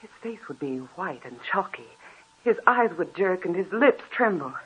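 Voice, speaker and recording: An actress playing an anxious young wife in a 1940s radio drama, earnest and pleading. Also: female voice, fairly low pitch, lively intonation, moderate pace, husky. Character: anxious young married woman, devoted wife. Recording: muffled old radio recording.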